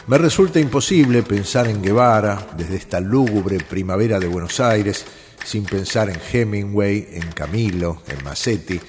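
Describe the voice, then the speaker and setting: A man reads a reflective literary text in a slow, solemn voice. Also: fairly low pitch, a little mellow, in a large hall.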